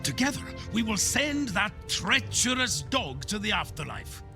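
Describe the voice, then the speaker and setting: A proud older Viking warrior lord in a cinematic game. He declaims slowly with huge swings of pitch, stern and weighty, over clearly audible music. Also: very animated, heavily acted. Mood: proud, solemn. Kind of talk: dramatic cinematic game acting. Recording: music behind the voice.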